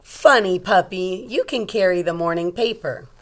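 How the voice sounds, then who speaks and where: A woman's voice: lowish voice, normal speed, close to an everyday voice. A woman voicing a caring little girl who talks to her puppy in a storybook, with emphatic read-aloud delivery. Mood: cheerful, eager.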